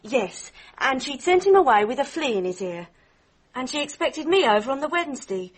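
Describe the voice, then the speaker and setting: A woman's voice: high voice, very animated, moderate pace, breathy edge, exaggerated voice, rough recording. A woman playing an earnest, polite young working girl in a radio mystery drama. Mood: eager, wistful.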